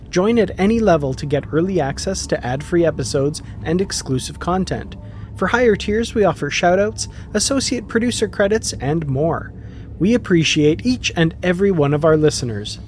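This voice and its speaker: A man hosting a podcast, speaking in an effusive, excitable and enthusiastic way. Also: a little high, a little hiss or hum. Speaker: podcast host. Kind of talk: enthusiastic casual podcast discussion.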